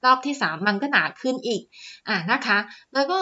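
Bright-voiced woman explaining stock charts. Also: high-pitched, a little fast, lo-fi sound. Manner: chatty, filler-heavy, polite particles. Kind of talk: screen-share chart walkthrough. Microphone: voice-over on screen recording.

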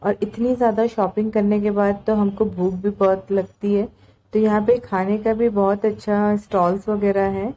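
A woman's voice: mid-range voice, level tone, moderate pace, mellow, dull audio. A woman narrating a vlog in a casual, chatty, conversational way. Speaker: market vlogger.

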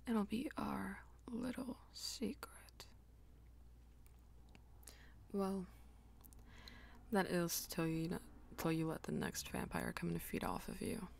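A woman voicing a jealous, dominant vampire lover in intimate ASMR roleplay, mostly in a breathy whisper with a teasing, commanding manner. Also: mid-range voice, slow, long pauses, a little mellow, exaggerated voice. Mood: jealous, possessive. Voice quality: breathy, husky whisper with creaky edges.

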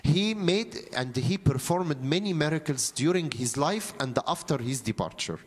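A man in his fifties with an Egyptian accent, a native Arabic speaker, preaching as a priest in halting phrases with frequent pauses. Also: on the high side, animated, breathy edge. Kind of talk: sermon, storytelling from notes. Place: church interior, seated at a lectern. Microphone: handheld microphone held close.

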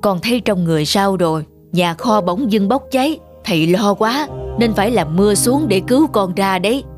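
A wise old bear wizard played by an actor from a children's cartoon. He is gentle and earnest, teaching with patience. Music plays behind him. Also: medium pitch, animated, normal speed, natural voice. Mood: concerned, kindly. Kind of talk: dubbed children's moral cartoon.

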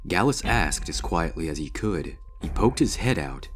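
A man's voice: deep voice, big pitch swings, moderate pace, noisy background. A man reading a scripted horror story as its narrator.

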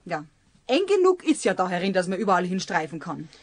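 A woman's voice: lowish voice, big pitch swings, brisk, no echo. A woman playing a nagging, practical housewife with a Bavarian accent in a children's radio play. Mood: matter-of-fact. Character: nagging, practical housewife bickering with her husband.

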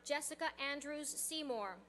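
A woman formally reading out graduates' names one by one at an outdoor commencement, in a measured announcing manner through a podium microphone. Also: high voice, lively intonation, background noise. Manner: measured, announcing each name evenly. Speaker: commencement name reader. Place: outdoor stage at a college ceremony.

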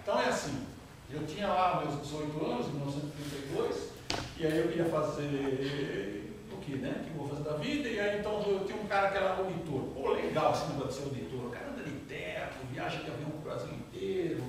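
A man in his fifties leads a lively lecture with a fairly high voice, asking his listeners questions. He is caught from far across a large lounge. The room rings with echo over steady noise. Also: quick pace. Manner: animated, questions the audience directly. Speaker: guest speaker. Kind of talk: interactive lecture. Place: large brick-walled lounge with an audience. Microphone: far camera, no mic. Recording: echoey room from far off, noisy.

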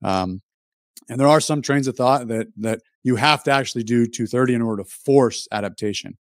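A man's voice: medium pitch, fairly quick, dry recording, studio quality. A man in his thirties explaining things casually as a guest on a fitness interview. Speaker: fitness YouTuber, podcast guest. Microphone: microphone on a video call.

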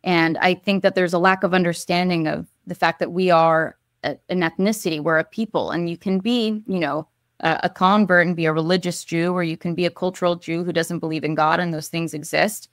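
A young woman speaks on a video call with a lowish voice for a woman and a dry, close sound. She answers at length in an earnest way, pausing often with ums and starting phrases over. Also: steady tone, no echo. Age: young adult. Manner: earnest, with frequent ums and restarts. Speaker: guest, advocate and essayist. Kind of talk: thoughtful interview answer. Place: home room.

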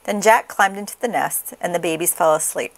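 A woman reading a children's story aloud with expressive, dramatic, deliberate phrasing. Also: fairly low pitch, vocal fry. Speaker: storybook reader.

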